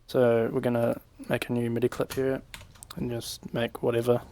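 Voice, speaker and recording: Young guy walking thru a music software tutorial, super flat intonation, chill and slangy, mid pitch. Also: normal speed. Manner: laid-back, informal, slangy. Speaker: music production tutorial presenter. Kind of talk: casual screen-share tutorial, talking through each step. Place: small home room, indoors. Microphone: desk microphone on a boom arm.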